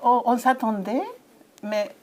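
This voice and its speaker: A woman's voice: on the high side, steady tone, slow pace, darkish tone, a little hiss or hum. A woman speaking French as a second language, giving an interview at a conference in a hesitant way, with many fillers and restarts. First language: not French. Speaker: ambassador of a coaching federation.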